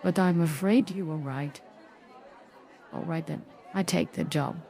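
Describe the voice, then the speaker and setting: An actress playing a young private detective in a video game, sardonic, guarded and formally polite. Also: female voice, low voice, measured pace, gravelly, mellow, a faint crowd. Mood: reluctant. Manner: dry, wry, formally polite. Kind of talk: video game dialogue and narration.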